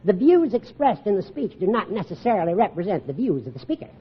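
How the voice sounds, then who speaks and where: Wry old American judge, lively teasing swoops, vintage radio comedy, slightly noisy broadcast. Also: male voice, high voice, quick pace, dull audio. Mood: dry. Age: older. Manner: dry, teasing, meddlesome, cackling. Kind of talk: 1950s radio comedy acting.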